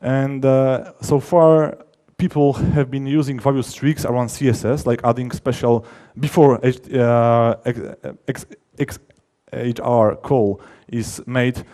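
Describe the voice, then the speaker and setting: A male conference speaker from his language and a relaxed, mellow timbre at an ordinary male pitch. He explains technical matters informally, leaning on key words for emphasis. His sentences often halt and restart as he rephrases. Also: takes it slowly, hardly any pauses. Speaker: conference speaker, software developer.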